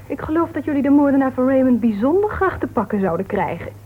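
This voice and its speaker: A woman's voice: high voice, expressive, fast. A woman playing a tart, self-assured society woman in a radio play, arch and dryly mocking. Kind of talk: Dutch radio play acting. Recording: tinny old radio recording.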